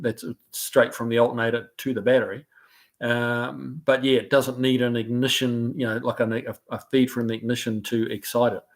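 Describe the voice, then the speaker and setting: A man from New Zealand hosts a relaxed live stream, and his New Zealand accent has been softened by years abroad. He reads out viewer comments and answers each one in an easygoing, chatty way. His pitch sits in an ordinary middle range. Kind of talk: casual live stream chat.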